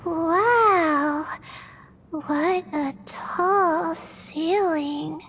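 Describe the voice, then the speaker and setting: A woman playing a shy, drowsy young female scholar in a video game, hesitant and soft-spoken. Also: very high voice, very slow, slight background noise, full character voice. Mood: timid, hesitant.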